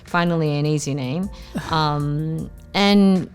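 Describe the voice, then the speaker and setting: A woman with a slightly low voice hosts a relaxed interview recorded on the road. She teases her guest playfully and breaks into mock outrage, and faint music sits behind her. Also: slow pace, clear, resonant tone. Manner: playful, teasing, bursts of mock indignation. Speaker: podcast host. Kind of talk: casual teasing interview.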